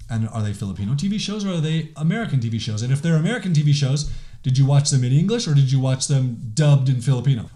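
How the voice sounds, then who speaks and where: A man talks through a podcast episode alone in a loose, conversational way. His voice is warm and mellow, and he keeps dropping in "like" and restarting his sentences. His pitch sits in an ordinary middle range for a man. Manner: conversational, many "like" fillers and restarts. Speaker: podcast host. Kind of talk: casual solo podcast monologue.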